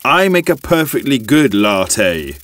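A grumpy, lonely older man in an audio drama. He grumbles slowly and defensively, with a lot of movement in his pitch. The recording is dry and close. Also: very animated, fairly bright tone, no echo. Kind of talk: audio play acting.

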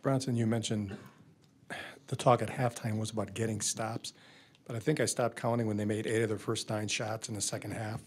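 A man's voice: on the low side, flat delivery, fairly quick, slight background noise. A man, a sports reporter, asking long, wordy questions at a press conference.

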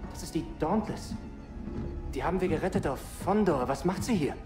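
Male actor as young imperial soldier, higher voice, sceptical, intense, video game drama, music behind. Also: lively intonation, brisk, close to an everyday voice. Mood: puzzled. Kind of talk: German video game voice acting.

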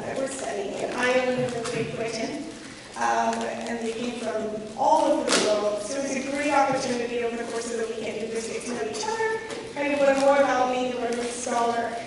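A woman's voice: a little high, fairly quick. A woman in her twenties with an American accent gives a prepared talk, her voice scratchy from a cold. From Kansas, United States. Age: 20s. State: recovering from a cold. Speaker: guest speaker, scholarship recipient. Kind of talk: prepared talk to a club audience. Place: large hard-walled meeting room. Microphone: handheld mic, heard through room from camera. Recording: echoey hall PA from far off, noisy.

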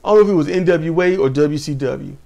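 A man co-hosting a casual wrestling talk show, animated and laughing often, speaking to viewers as y'all. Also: fairly high pitch, quick pace, gravelly, muffled recording. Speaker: podcast co-host. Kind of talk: casual chat about wrestling.

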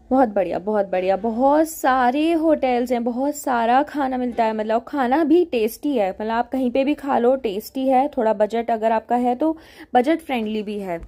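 A young woman narrating her travel vlog in a casual, chatty way, with filler pauses. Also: on the high side, mellow. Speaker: travel vlogger.

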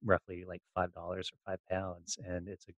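Male podcast host, conversational interviewer, lower register, very dry recording. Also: monotone, normal speed, no echo, highs cut off. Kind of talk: casual podcast interview chat.

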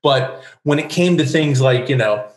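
A man's voice: medium pitch, lively intonation, normal speed. Middle-aged man explaining in an echoey room. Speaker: guest, comics writer. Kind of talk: explanatory interview talk. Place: home room with bookshelves, indoors. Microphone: desk microphone on video call. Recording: echoey room over video call.

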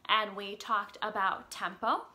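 A woman's voice: mid-range voice, fairly even intonation, measured pace, bright, forceful tone. A young woman teaching small children and reading a picture book aloud, expressively, with simple questions and exaggerated emphasis. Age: young adult. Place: home room with an upright piano.

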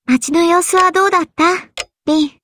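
A woman's voice: very high-pitched, steady tone, fairly quick, fairly bright tone, a little hiss or hum. An actress playing a small, poised young girl, composed and precocious, in a visual novel. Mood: calm, matter-of-fact. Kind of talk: Japanese visual novel voice acting.

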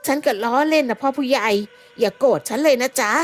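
A woman doing a rough, cheeky villager. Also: high-pitched, brisk, voice pushed up, natural voice. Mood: teasing fawning. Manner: rough, teasing, fawning. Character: rough, cheeky male villager, drinking buddy.

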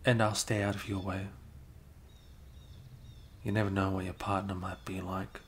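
A man playing a flustered, caring young male best friend with a crush, speaking haltingly in an improvised ASMR roleplay. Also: low voice, level tone, a little hiss or hum, close to an everyday voice. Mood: gentle, caring. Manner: halting, bashful, chuckling, casual bro talk.

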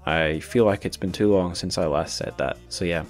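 A man with a notably low voice hosts a casual podcast. He rambles informally and puts himself down a little as he goes. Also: normal speed, soft background music. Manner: informal, self-deprecating, rambling. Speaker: podcast host.

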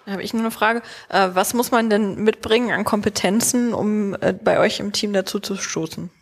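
A woman with a husky voice casually moderates questions in an auditorium. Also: medium pitch, moderate pace.

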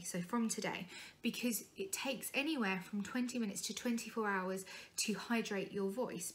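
A woman in her thirties with a British accent, a singing teacher giving an informal vocal health tutorial, her voice a little croaky after a long day of teaching, talking with her hands and repeating words for emphasis. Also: mid-range voice. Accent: British English. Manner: chatty, explains with hand gestures, repeats words for emphasis. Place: small room indoors, sitting at a keyboard in front of a sofa.

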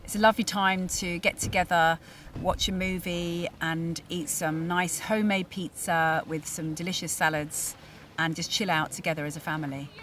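A woman's voice: fairly low pitch, steady tone, moderate pace, a bit bright. Woman vlogging outdoors, slightly distant and noisy. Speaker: sailing vlog presenter, mother. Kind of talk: casual vlog narration to camera. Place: outdoors at a harbour and on a sailboat. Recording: distant mic, noisy outdoor boat ambience.

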